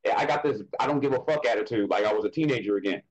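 A man's voice: fairly low pitch, rapid-fire, low-quality recording. A man co-hosting a podcast, talking casually and bluntly, swearing freely. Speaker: podcast co-host. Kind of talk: casual chat.

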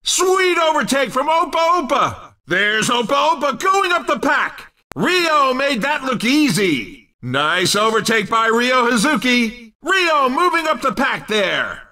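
An excitable male arcade racing commentator with a very high, forceful voice. The delivery is brash and punchy, swinging widely in pitch like upbeat sports commentary in a video game, and sits a little back from the mic. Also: slow pace, far from the mic, exaggerated voice. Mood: excited, upbeat. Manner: punchy, exclamatory announcer delivery, often shouting. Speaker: race commentator.

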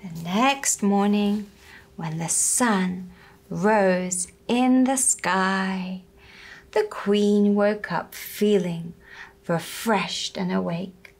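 A young woman telling a story to small children in a gentle, deliberate, expressive manner. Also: mid-range voice. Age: young adult. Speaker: puppet show storyteller. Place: small furnished room indoors.

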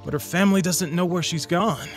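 A man's voice: high voice, fairly quick, faint music behind. A man playing an earnest, concerned young man in scripted audio drama dialogue. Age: young adult.

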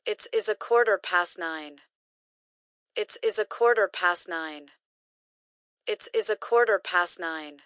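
A woman reading short English phrases slowly and carefully, each one repeated for learners to practice. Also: lowish voice, a bit bright, no echo, clean studio sound. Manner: careful, clearly enunciated. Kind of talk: slow repeated phrase drills.

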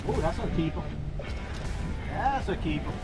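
Higher-voiced man hosting from a boat. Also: lively intonation, moderate pace, over loud music. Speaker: fishing show host. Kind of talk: casual chat to camera while fishing. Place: outdoors on a small open boat on the water. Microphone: action camera a few feet away.

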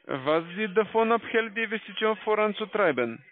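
A man with a foreign accent, a non-native speaker giving a halting, scripted sales pitch. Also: expressive, no echo. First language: not German. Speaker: call-centre salesman. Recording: dry, tinny phone line.

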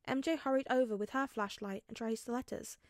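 A woman narrating fan fiction in an expressive storytelling style. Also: a little high, a little fast, no echo, studio quality.

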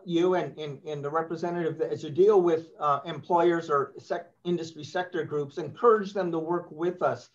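An older man moderating an online panel from an office, courteous and a bit halting as he speaks. His voice sits fairly high for a man, and the video call audio is slightly muffled. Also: fairly even intonation.

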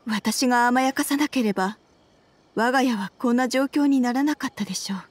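Game role: woman as troubled wife and mother, higher-pitched, measured, courteous and earnest. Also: slight background noise, lightly acted. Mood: regretful. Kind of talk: video game voice acting.

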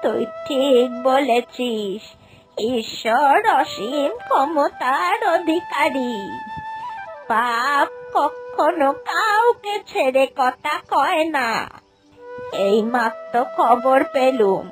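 A woman's voice: sits high, animated, over music, heavily acted. Woman acting a dragging, moralizing old village aunt. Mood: grave. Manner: drawn-out, moralizing. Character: old village aunt gravely gossiping about divine punishment. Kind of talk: Bengali animated folk-tale acting.

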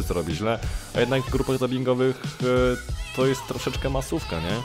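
A young man with a fairly low voice answers questions in a casual, halting way, correcting himself as he goes. He is close on a desktop mic, with music clearly playing behind him. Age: young adult. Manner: hesitant, self-correcting, informal with occasional swearing. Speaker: YouTuber and amateur dubbing voice actor answering viewer questions. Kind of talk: casual vlog Q&A. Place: small room at home, indoors. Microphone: desktop condenser mic with pop filter, close.

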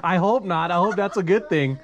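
A man's voice: high-pitched, fairly quick, dull audio, a crowd in the background. A man in his forties, chatting casually and laughing often while vlogging inside a large clothing store. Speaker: vlogger, thrift reseller. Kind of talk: casual chat.

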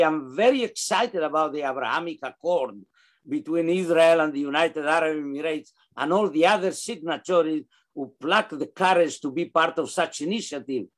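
Older man, solemn and higher-pitched. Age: 60s or older. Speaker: rabbi, guest speaker. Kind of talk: formal read address with blessing. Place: indoors in a home room, on a video call. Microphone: computer mic on a video call.

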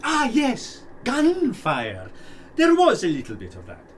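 A man playing an excitable, suave aristocratic prince and nervous conspirator in a radio play. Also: extremely high pitch, slow, heavily acted. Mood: blustering. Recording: distant mic, faint noise.

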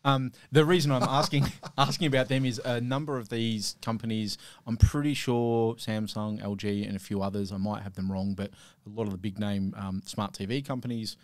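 A man guesting on a podcast in a small studio, explaining points in a measured way. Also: fairly low pitch, steady tone, no echo. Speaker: ethics guest. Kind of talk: casual chat. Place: small studio room around a table. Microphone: desk mic on stand, headphones.

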